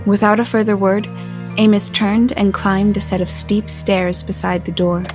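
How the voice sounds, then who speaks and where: A woman acts an uneasy but resolute young heroine of an audio drama, over prominent music. Her mid-pitched voice is measured and descriptive. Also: flat delivery, lightly acted. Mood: measured, foreboding. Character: apprehensive but resolute female narrator-heroine. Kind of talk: first-person audio drama narration. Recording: music under the voice.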